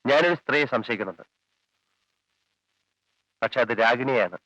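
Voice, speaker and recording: An actor playing a calm male police officer who questions a witness with measured, probing lines in a 1980s film. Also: mid-range voice, dry recording, lo-fi sound. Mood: reasoning. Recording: dry 1980s film soundtrack, faint music.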